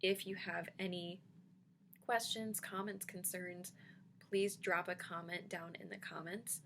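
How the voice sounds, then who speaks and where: A woman's voice: fairly low pitch, steady tone, measured pace, vocal fry, fairly bright tone, a little hiss or hum. A woman vlogging casually about dentures, reassuring her viewers with frequent ums and a slight lisp. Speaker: denture vlogger answering comments. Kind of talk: casual vlog chat.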